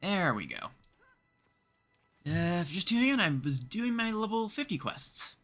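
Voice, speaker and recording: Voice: mid-range voice, big pitch swings, moderate pace, a bit bright, faint music behind. A streamer from Canada giving casual live video game commentary, chatting and thinking aloud in short remarks.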